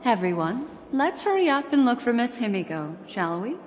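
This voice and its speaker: A woman voicing an elegant, composed and mysterious game character, courteous and softly teasing in manner. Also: a little high, sing-song, moderate pace. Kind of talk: video game voice acting. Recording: long reverb, music behind.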